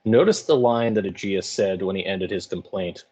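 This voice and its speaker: A male English teacher with a fairly low voice talks through a lesson informally. His phrasing is colloquial and wry, more like explaining to students than reading a script. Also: normal speed, warm tone, husky. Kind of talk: informal lecture commentary.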